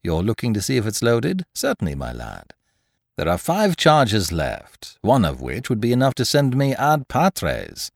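A man reading aloud as a cocky, playful gentleman thief who teases and mocks in a theatrical way. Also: huge pitch swings, a little slow, creaky, dry recording, studio quality, exaggerated voice. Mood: teasing. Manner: teasing, theatrical swings in pitch. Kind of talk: audiobook character reading.

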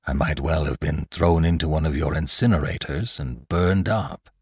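Sinister guarded man, acted by a male reader: low pitch, terse dry replies, close and dry sound, story narration. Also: normal speed, breathy edge, no room sound, heavily acted. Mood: sly, measured. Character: cold, wary, secretly murderous man.

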